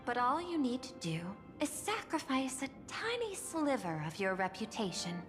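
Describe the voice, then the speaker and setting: A classy calculating businesswoman from a game, kinda high voice, very formal, big reverb and music. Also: very animated. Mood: courteous, persuasive. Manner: polished, formal, measured. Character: poised, persuasive corporate executive woman, courteous and calculating. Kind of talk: video game voice acting.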